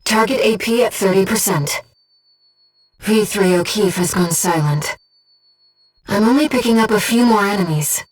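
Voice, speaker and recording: An actress playing a gentle, devoted female voice in a pilot's head, a composed and precise mission guide in a video game. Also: mid-range voice, normal speed, pauses often, distant mic, lightly acted, rough recording. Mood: composed, focused. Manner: composed, precise, earnest delivery. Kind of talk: video game voice acting, tactical briefing.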